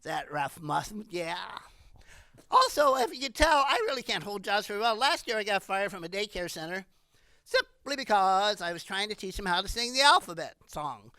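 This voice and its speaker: A woman in her sixties performing a stand-up comedy monologue in an animated, rambling way. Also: medium pitch, normal speed, no room sound. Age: 60s.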